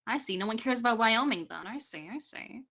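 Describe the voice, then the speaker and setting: A woman's voice: fairly high pitch, expressive, brisk, a bit bright. A woman bantering casually as a podcast panelist, with a wry, playful way of talking.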